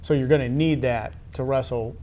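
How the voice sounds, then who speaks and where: A middle-aged American man conducting a conversational sports interview, halting and wordy, often repeating phrases. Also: medium pitch, fairly even intonation, warm tone, slight background noise. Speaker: interviewer, high school athletic director.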